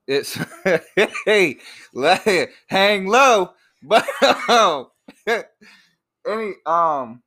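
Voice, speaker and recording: A man in his late twenties talking loosely on his own podcast, quick to laugh and prone to restarting his sentences. His voice sits a bit high and swings widely in pitch as he jokes and rambles. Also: animated, sharp tone. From born in Georgia, raised in Virginia. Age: 20s. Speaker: podcast co-host. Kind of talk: casual unscripted podcast chat.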